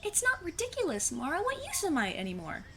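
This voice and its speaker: A woman's voice: very high-pitched, huge pitch swings, fast talker, fairly bright tone, a little hiss or hum, heavily acted. A woman playing an earnest, blunt fifteen-year-old girl sleuth in an audio drama, speaking in formal, precise phrases. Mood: self-doubting.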